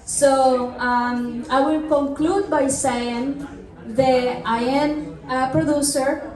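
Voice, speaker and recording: Woman, from Mexico, film producer, speech, slow, echoey hall, distant mic. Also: high-pitched, fairly even intonation, a little mellow. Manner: measured, thankful, with pauses and fillers. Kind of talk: short speech at an event. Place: large hall at a festival.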